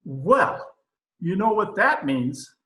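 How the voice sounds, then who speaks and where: A man plays a pompous radio-comedy despot, blustering in a high, forceful voice. His words come slowly and the room echoes. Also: sing-song, exaggerated voice. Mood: baffled. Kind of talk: old-time radio comedy-drama acting. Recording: roomy remote home recording.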